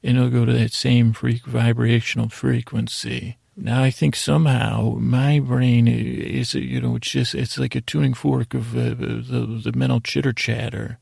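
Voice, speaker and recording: A man with a heavily creaky, husky voice at a medium pitch hosts a bedtime podcast. He meanders and doubles back on himself in a halting, lulling monologue. The recording is dry and close, with no room sound. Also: little pitch movement, darkish tone, no room sound. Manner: meandering, halting, self-interrupting, soothing intent. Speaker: podcast host.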